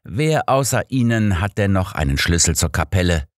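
A man's voice: sits low, expressive, moderate pace, no room sound, studio quality, voice pushed up. A man voicing an old master detective in an audiobook, composed, inquisitive and matter-of-fact. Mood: inquiring.

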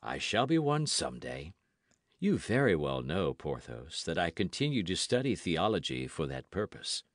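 Guy doing a quiet sly musketeer, priestly type, low and patient, measured, audiobook, dry sound. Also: big pitch swings, no room sound. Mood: calm, patient. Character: soft-spoken, patient, sly young musketeer with priestly leanings.

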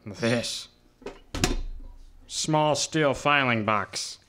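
A man's voice: medium pitch, extremely slow, noisy background. A man playing a plain-spoken pawnshop clerk in a radio drama, terse and offhand. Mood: gruff, indifferent. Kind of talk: old-time detective radio drama acting.

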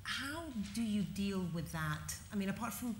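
A woman moderating a panel discussion, asking questions in a measured, courteous way. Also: mid-range voice, expressive. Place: conference hall. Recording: echoey hall with faint noise.

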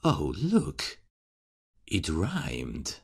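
A man playing a gravel-voiced mocking serial killer. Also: low voice, wildly swooping pitch, full character voice. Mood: menacing. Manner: taunting, chuckling, slow and hushed. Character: menacing mocking adult male serial killer. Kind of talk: ASMR horror roleplay.

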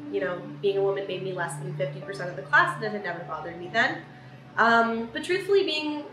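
A woman's voice: mid-range voice, noisy background. A young woman around twenty, an engineering student, talking to camera in a chatty way with ums and restarts in a room by a large window. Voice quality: loud voice. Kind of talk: reflective talk to camera. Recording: roomy with background music.

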